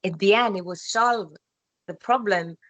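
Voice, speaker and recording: A woman, born in Malaysia, answering interview questions in a calm, reflective way, speaking English with loose grammar and spiritual phrasing. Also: mid-range voice, unhurried, no room sound. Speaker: skin expert interviewee. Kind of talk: reflective casual interview talk.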